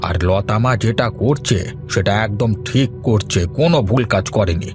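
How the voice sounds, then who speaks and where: Kindly older uncle for a cartoon moral tale, male actor: low voice, firm and reassuring, music behind. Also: animated, moderate pace, natural voice. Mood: stern, protective. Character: kindly, decisive older uncle, a grateful guest. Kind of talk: Bengali animated moral story acting.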